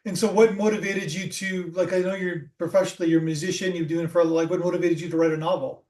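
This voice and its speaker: A man's voice: high voice, level tone, fast, a little mellow, poor audio. A man hosting a film podcast, asking loose, casual questions of a guest. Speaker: podcast host.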